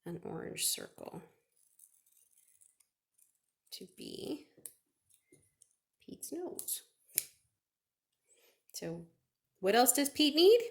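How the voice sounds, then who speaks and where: A woman's voice: medium pitch, creaky. A woman chatting through a step-by-step craft for children, asking questions and answering them herself. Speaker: children's librarian leading a craft.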